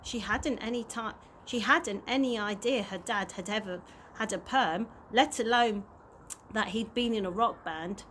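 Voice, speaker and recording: A woman's voice: mid-range voice, measured pace, fairly bright tone. A woman reading a children's story aloud on a slightly noisy amateur recording. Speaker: early years practitioner reading stories.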